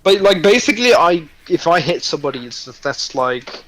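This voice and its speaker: A man's voice: fairly high pitch, sing-song, moderate pace, fairly bright tone, slight background noise, rough recording. A man chatting casually on a stream in a blunt, rambling, sweary way. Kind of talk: casual chat.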